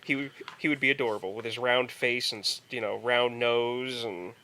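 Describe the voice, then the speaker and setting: A man co-hosting a podcast in casual chat, rambling haltingly and repeating words as he goes. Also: mid-range voice, steady tone, sharp tone. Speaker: podcast co-host.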